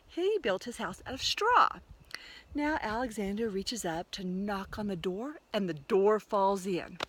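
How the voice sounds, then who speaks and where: A woman telling a fairy tale in an animated, conversational storytelling manner. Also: medium pitch, unhurried. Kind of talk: oral fairy tale retelling.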